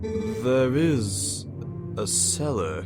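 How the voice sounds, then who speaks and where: A man's voice: mid-range voice, animated, very slow, loud music behind the voice. A man playing a grave village shaman in a fan-made video game dub, with a solemn, formal way of speaking.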